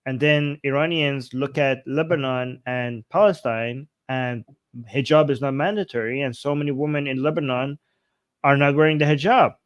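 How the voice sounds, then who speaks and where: A man's voice: medium pitch, normal speed, smooth, no echo, dull audio. A man co-hosting a live news talk show, explaining events in a casual, emphatic way with many "like"s. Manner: animated, emphatic, with frequent "like". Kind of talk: casual discussion commentary.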